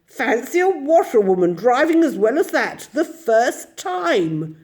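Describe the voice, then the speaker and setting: Woman voicing a genteel kindly gentleman. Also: fairly high pitch, sing-song, moderate pace, pitched above the performer's own voice. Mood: hearty. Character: kindly upper-class gentleman, fussy.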